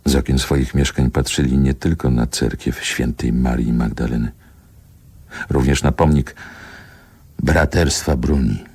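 An actor playing a man who wistfully and wryly recalls his childhood in a literary radio play, deliberate and pausing between short phrases. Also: extremely low pitch, fairly even intonation, darkish tone, slightly rough, no room sound, exaggerated voice. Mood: solemn, reflective. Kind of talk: literary radio drama monologue, lyrical reminiscence.

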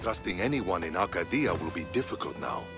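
An actor playing a measured, cautious soldier ally in a video game, earnest and reasoned. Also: male voice, sits low, sing-song, vocal fry, exaggerated voice. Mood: grave, cautious. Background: music.

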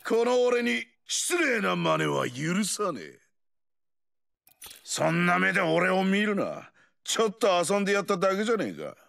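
Game brawler: rowdy veteran bomber, gravelly, high-pitched for a man, big swooping delivery. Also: fairly bright tone, exaggerated voice. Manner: rough, swaggering, taunting slang. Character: brash, rowdy, cocky ageing male explosives-loving brawler. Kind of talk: video game character voice lines.

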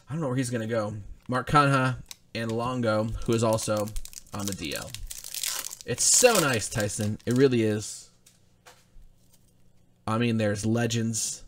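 A young man hosting a live card break, chatting casually as he rattles off player names while opening packs at a desk in a small studio. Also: medium pitch. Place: indoor studio with branded backdrop.

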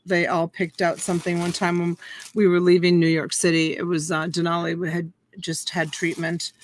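A woman's voice: on the low side, flat delivery, normal speed, a little hiss or hum. A woman in her forties hosting a casual live-stream auction from her home, talking in a chatty, folksy way and drawing out the calls for bids. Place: home room full of plush toys and a dress form. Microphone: webcam on a computer.

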